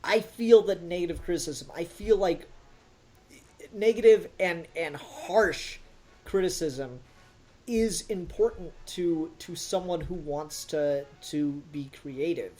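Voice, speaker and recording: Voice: medium pitch, takes it slowly, darkish tone. A podcast co-host giving a casual, blunt and emphatic critique in conversation. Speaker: podcast co-host reviewing an audio drama.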